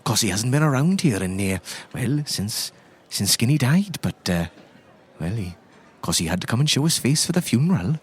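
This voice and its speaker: A man's voice: mid-range voice, big pitch swings, moderate pace, husky. A man playing a chatty, tipsy priest who loves tall tales, with an Irish accent and a rambling, lilting delivery, in an improvised tabletop game. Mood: reminiscing, wistful. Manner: rambling, lilting storyteller with repeated tag phrases.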